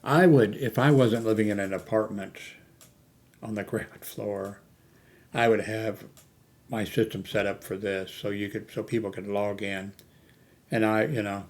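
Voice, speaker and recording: A man's voice: fairly low pitch, fairly even intonation, measured pace. A man giving a casual, unscripted talk as an amateur radio hobbyist, rambling and hesitant, often losing his thread. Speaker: amateur radio hobbyist hosting a video channel.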